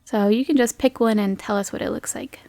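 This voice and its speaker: A woman's voice: a little high, steady tone, brisk, dark tone, no room sound. A woman casually narrating a tabletop roleplay game as the game master, in a conversational, improvised way.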